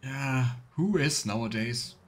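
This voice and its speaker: A man's voice: mid-range voice, takes it slowly. A man giving casual, chatty let's play commentary with playful sarcasm. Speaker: livestreamer playing a video game.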